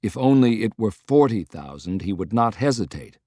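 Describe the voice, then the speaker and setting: A man's voice: on the low side, sing-song, moderate pace, dark tone, no echo. Man narrating, dry close sound. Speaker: audiobook narrator. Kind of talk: scripted dramatic narration of a historical novel.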